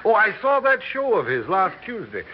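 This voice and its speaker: Fawning, theatrical actor, animated voice. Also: male voice, sits high, moderate pace, a little hiss or hum. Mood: gushing, ingratiating. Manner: effusive, theatrical, flattering. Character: fawning, florid middle-aged actor begging for work. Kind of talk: 1960s radio drama acting. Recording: dull vintage radio, party chatter behind.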